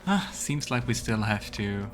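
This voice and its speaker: Male let's player, lowish voice, casual and musing, game music clearly underneath. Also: animated, unhurried. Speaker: let's play host. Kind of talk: casual gaming commentary and voiced dialogue reading.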